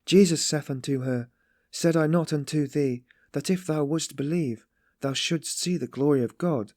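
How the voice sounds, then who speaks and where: A man's voice: fairly high pitch, normal speed, a little mellow, no echo, clean studio sound, pitched above the performer's own voice, lightly acted. A man voicing a fervent, trembling young woman. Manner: fervent, ringing reading.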